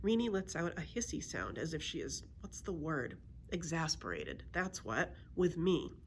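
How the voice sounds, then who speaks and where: A woman's voice: low voice, very animated, moderate pace, a little hiss or hum. A woman reading a children's book aloud as a storyteller, expressively acting out the dialogue.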